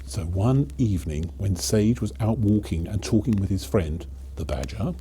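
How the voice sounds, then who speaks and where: Male storyteller, very soft dark tone, lively swings of pitch, theatrical children's tale delivery. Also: slight background noise. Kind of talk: dramatic children's storybook narration.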